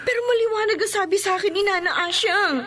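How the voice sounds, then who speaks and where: A woman's voice: very high voice, fast, sharp tone. An actress playing an anxious, respectful young married village woman in a horror radio drama, worried and pleading. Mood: fearful, distressed.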